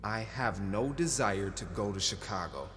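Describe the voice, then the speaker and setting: A man's voice: lowish voice, animated, moderate pace, lightly acted. An actor playing a withdrawn, defensive man who speaks curtly and dismissively in naturalistic stage-play dialogue. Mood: guarded, irritable. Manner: curt, dismissive. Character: Ross, sullen, defensive, withdrawn former academic man. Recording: long hall reverb with music behind.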